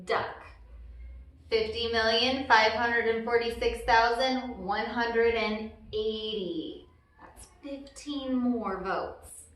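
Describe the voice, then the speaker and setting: A woman reading a children's picture book aloud as a storyteller, with deliberate, emphatic phrasing and brief asides. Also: fairly high pitch, fairly even intonation, a bit bright. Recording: very echoey room, distant mic, noisy background.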